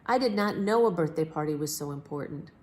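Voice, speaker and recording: A woman voicing a polite, easily impressed king in a children's storybook, earnest and expressive. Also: deep voice, brisk.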